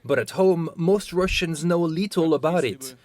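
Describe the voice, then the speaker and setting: Male news reporter, slightly high voice. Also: normal speed, a little mellow. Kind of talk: scripted news narration.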